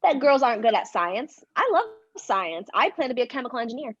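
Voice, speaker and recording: Overachieving teen girl, played by a woman, animated, highish voice, assertive, stage reading, muffled recording. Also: brisk, slightly rough, heavily acted. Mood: brisk, proud. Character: studious, proud, impatient fourteen-year-old girl, high achiever. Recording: dull lo-fi recording.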